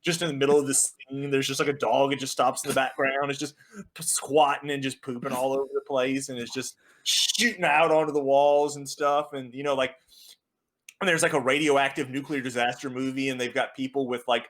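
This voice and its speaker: A man's voice: medium pitch, level tone, brisk, fairly bright tone. A man co-hosting a podcast, rambling through crude jokes. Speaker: podcast co-host. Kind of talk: casual chat.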